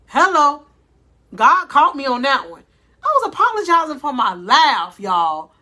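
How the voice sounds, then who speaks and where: A woman life coach giving a faith-based motivational talk, with a loud voice, speaking deliberately slowly and calling her listeners "y'all". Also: high voice, very animated. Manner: loud voice by her own account.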